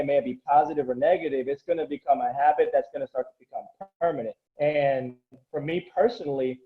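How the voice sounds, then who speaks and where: A man's voice: fairly high pitch, muffled recording. A man who coaches and grew up speaking Korean, talking earnestly and motivationally in a casual interview, often restarting his sentences.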